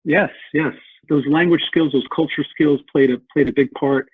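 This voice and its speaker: A man's voice: a little high, steady tone, moderate pace, breathy edge, dry recording. A man explaining history earnestly as a podcast guest, often repeating words as he thinks. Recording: tinny phone-like line.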